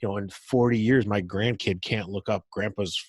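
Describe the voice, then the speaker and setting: A man's voice: lowish voice, steady tone, moderate pace, darkish tone, breathy edge, no echo. A middle-aged man, a fire captain, chatting casually in an informal, slangy and joking way. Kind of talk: casual chat.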